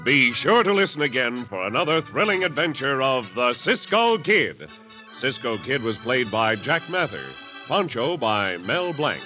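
A man's voice: medium pitch, sing-song, measured pace. A male announcer reading the closing lines and credits of a 1950s radio drama. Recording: over loud organ music.

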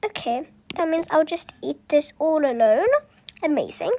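A young-sounding speaker with a soft, childlike manner, acting out family dialogue in an improvised roleplay story. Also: high-pitched, lively intonation, moderate pace, slight background noise. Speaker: voiced roleplay video creator.

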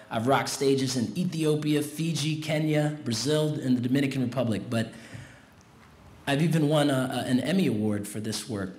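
Relaxed man speaking in a roomy tent. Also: mid-range voice. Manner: relaxed, conversational, leads crowd in a chant. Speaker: commencement guest speaker. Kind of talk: commencement speech. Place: large tent at an outdoor graduation. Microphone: podium mic on a stand. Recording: roomy tent sound with faint noise.

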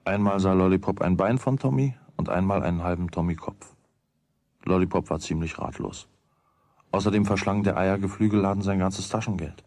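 A man's voice: low voice, brisk, a little mellow. A man narrating a children's radio play as its storyteller, in a scripted storytelling style. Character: storyteller of a boy's everyday adventures.

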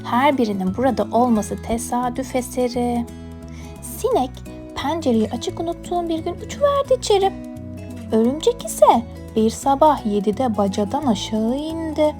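A woman's voice: high-pitched, animated, moderate pace, over music. A woman narrating a scripted children's bedtime story expressively, as a storyteller.

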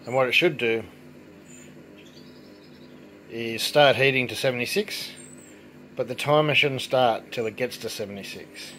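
Relaxed middle-aged man explaining as he works. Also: mid-range voice, measured pace, pauses often, slight background noise. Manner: relaxed, explanatory, thinking aloud while working. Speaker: home brewing presenter. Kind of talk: casual hands-on demonstration. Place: indoor home brewery.

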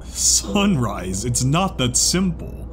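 A man voicing a gentle, world-weary zebra companion in a dramatized audiobook, speaking in an earnest, measured way. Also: mid-range voice, very animated, a little hiss or hum. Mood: grave, warning. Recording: music behind the voice.

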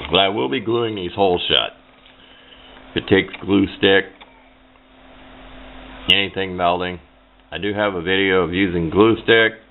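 A man's voice: low-pitched, slight background noise. A man giving a casual hands-on how-to demonstration in a plain-spoken, rambling, offhand way. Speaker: hobbyist presenter. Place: indoors at a workbench. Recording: tinny, band-limited camera microphone sound.

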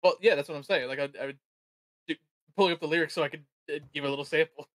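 A woman's voice: deep voice, steady tone, rapid-fire, no echo. A woman streamer chatting casually with friends on a livestream.

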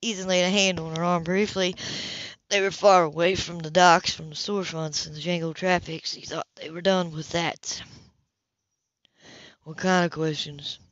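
A woman's voice: fairly low pitch, little pitch movement, moderate pace, fairly bright tone, no room sound, lo-fi sound. A woman reading a novel aloud as an audiobook, sometimes stumbling and restarting on words. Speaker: audiobook reader. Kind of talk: audiobook narration.

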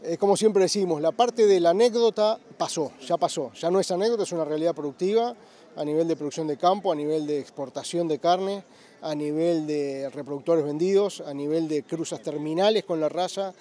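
A man's voice: on the high side, a little fast, dark tone. A middle-aged man, a breeder answering interview questions outdoors in a fluent, emphatic way. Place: outdoor livestock show grounds. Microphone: handheld interviewer mic.

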